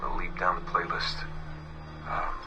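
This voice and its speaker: An actor playing a weary, haunted young man, recording a halting, reflective confession in a subdued murmur for a game. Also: low-pitched, fairly even intonation. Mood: apologetic, tired. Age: young adult. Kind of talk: recorded confessional audio diary, game voice acting. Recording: distant game audio with music behind. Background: music.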